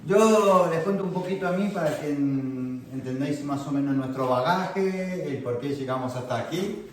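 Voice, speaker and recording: A man's voice: fairly high pitch, moderate pace, slight background noise. A man from Argentina with an Argentine accent, giving a friendly, conversational talk to an audience as guest speaker. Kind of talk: informal lecture to an audience. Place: meeting hall. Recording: very echoey hall, distant mic.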